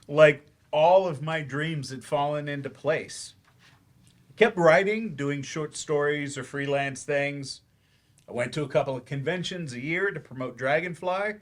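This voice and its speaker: A man playing a weary, regretful novelist turned teacher in a staged play reading, halting, self-deprecating and confessional. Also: a little high, lightly acted. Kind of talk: staged dramatic monologue.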